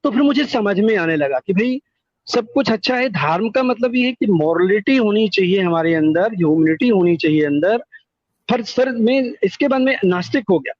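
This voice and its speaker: A man's voice: sits high, expressive, normal speed, no room sound. A middle-aged man giving personal testimony in conversation over a phone call, earnest and wordy, repeating words for emphasis. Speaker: call-in guest telling their life story. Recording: dull lo-fi call recording.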